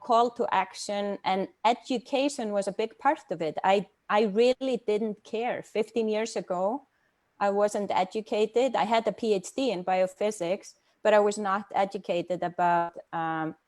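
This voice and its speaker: A middle-aged woman from Iceland talks as a guest over a video call. Her pitch sits in an ordinary middle range for a woman. She speaks thoughtfully and hesitantly, pausing often with fillers as she gathers her words. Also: a little mellow. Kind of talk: casual personal talk.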